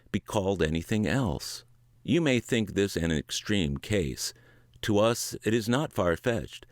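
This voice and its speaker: A man with a lowish voice reads a book aloud word for word. His delivery is earnest and emphatic, with a lot of rise and fall. The recording is dry and close, with no room sound. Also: no echo. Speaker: audiobook reader.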